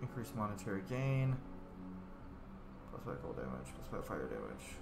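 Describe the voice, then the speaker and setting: Animated man commentating in an echoey room. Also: low-pitched, moderate pace, vocal fry, music in the background. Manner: informal, reacts aloud, mutters on-screen text. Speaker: gaming video host, player. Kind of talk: casual playthrough commentary. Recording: roomy echo, faint background noise.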